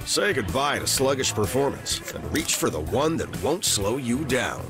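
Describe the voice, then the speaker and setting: A man's voice: medium pitch, big pitch swings, normal speed, over music. A man narrating a scripted commercial as an announcer.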